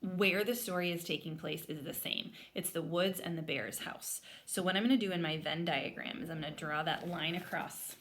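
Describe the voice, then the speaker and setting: A woman's voice: fairly low pitch, moderate pace, few pauses, a bit bright, a little hiss or hum. A woman teacher leading an interactive read-aloud lesson for young children in an explanatory manner. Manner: explanatory, spelling words out while writing. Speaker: elementary school teacher.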